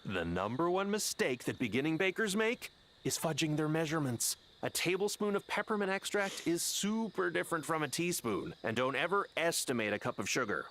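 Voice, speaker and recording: A man's voice: fairly high pitch, big pitch swings, fairly quick, a bit bright, a little hiss or hum. An actor playing a friendly man who passes on baking tips like secrets, emphatic and instructive, in a video game. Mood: confiding, playful.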